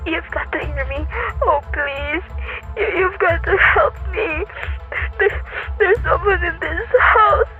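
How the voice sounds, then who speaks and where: Woman acting very high-voiced, panicky invalid wife. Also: slow pace. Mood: frantic pleading. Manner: pleading, insistent, stammering. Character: panicked, demanding, nervous invalid wife alone at home. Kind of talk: radio thriller acting. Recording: tinny phone-like audio with music.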